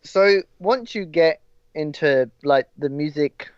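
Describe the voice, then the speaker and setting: Young English man, smooth dry voice. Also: fairly high pitch, takes it slowly, no room sound. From England. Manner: rambling, many restarts. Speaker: podcast guest. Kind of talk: casual chat. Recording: dry, slightly dull audio.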